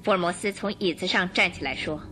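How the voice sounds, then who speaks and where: A woman narrating a radio drama in an expressive, suspenseful storytelling manner. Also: on the low side, quick pace, slight background noise.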